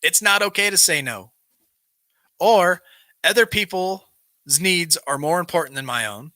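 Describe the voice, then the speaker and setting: Bright, forceful man hosting a recovery show. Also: high voice, expressive, a little slow, dry recording. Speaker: host of a live recovery show. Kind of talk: reading aloud from a self-help text with casual asides.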